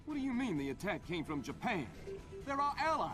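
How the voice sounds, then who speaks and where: An actor playing an anxious, indignant American president in a video game cutscene. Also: male voice, sits high, very animated, fairly quick. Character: anxious, indignant male President of the United States. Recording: distant, under loud music.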